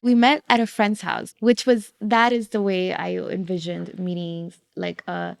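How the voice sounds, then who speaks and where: A woman's voice: lowish voice, measured pace, gravelly, smooth, no room sound, studio quality. A woman from the Dominican Republic, a podcast guest chatting casually about her life and how she met her husband. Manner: relaxed, chatty, with fillers and laughs. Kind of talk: casual conversation.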